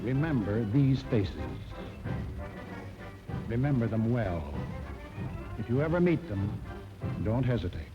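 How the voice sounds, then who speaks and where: A man's voice: medium pitch, lively intonation, takes it slowly, long pauses. An American man narrating a scripted 1940s propaganda film in an emphatic, dramatic way. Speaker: documentary narrator. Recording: dull 1940s film soundtrack, loud music.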